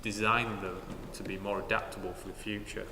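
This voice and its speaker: A man with a Northern English accent who manages university sustainability, speaking in a measured, matter-of-fact way on a live panel in a large church. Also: fairly low pitch, flat delivery. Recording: echoey church hall with noticeable noise.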